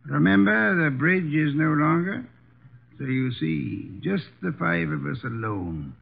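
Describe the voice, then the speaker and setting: Pompous, gravelly old-time radio detective. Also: male voice, fairly high pitch, expressive, a little slow, exaggerated voice. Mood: commanding. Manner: pompous, formal, precise diction. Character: imperious, testy, large-brained armchair detective. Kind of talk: 1950s American radio drama acting. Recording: dull lo-fi vintage radio recording.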